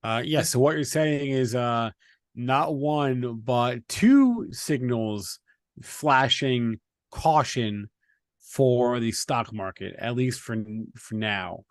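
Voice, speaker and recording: A man with a mid-range voice in a dry, echo-free sound acts as host. He speaks casually and haltingly, feeling his way as he restates points in conversation. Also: mid-range voice, lively intonation.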